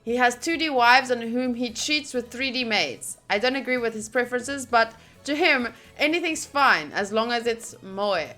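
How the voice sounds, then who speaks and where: A woman streamer reading a visual novel's narration aloud during a playthrough, with expressive, gushing asides. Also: a little high, normal speed, hardly any pauses, faint music behind. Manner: expressive, gushing asides between read passages.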